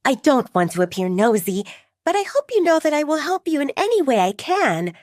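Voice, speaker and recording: A woman's voice: high-pitched, sing-song, fairly quick, dry recording, clean studio sound, pitched above the performer's own voice. A woman voicing an eager, polite, fawning young werewolf woman in an audio drama. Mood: eager, deferential.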